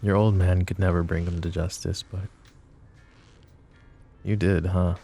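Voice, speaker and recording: Mafia boss, played by a male actor: very low, dark, coaxing and possessive, for intimate ASMR roleplay. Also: level tone, faint music behind, exaggerated voice. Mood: quiet, probing. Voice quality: low, gravelly murmur. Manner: deliberate, coaxing, philosophizing.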